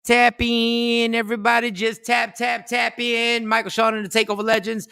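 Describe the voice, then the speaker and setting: Guy hosting a radio show, high bright voice, rambly and animated. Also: moderate pace, gravelly, clear, resonant tone, dry recording, studio quality, soft background music. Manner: animated, rambling, breaks into sound effects. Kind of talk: casual group banter on internet radio.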